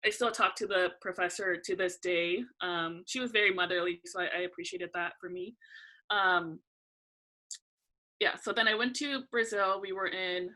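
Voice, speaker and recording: Bright-voiced young woman, relaxed chat. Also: on the low side, rough recording. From California. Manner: relaxed, thoughtful, frequent "um" pauses. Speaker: guest. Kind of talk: casual interview conversation.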